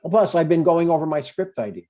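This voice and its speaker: A man's voice: on the high side, lively intonation, fast, warm tone, lightly acted. A man playing a chatty, stubborn older father in a comedy table read, in a conversational, mildly needling way. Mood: earnest, defensive.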